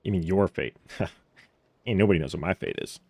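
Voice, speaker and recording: A man's voice: low voice, normal speed, darkish tone, a little hiss or hum. A man doing casual live game commentary, wry and joking as he thinks aloud. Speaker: live streamer playing a game. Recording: game music behind. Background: game music.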